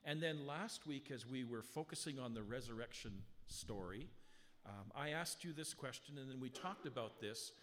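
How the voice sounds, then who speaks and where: A man with a strong voice leads a sermon in a church sanctuary. He talks to the congregation with rhetorical questions and reads scripture slowly and with weight. The sound carries a long hall reverb, and his pitch sits at a middle level. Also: a bit bright. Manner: conversational preaching with rhetorical questions; slow, emphatic scripture reading. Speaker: pastor. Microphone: handheld or stand mic, sound adjusted live.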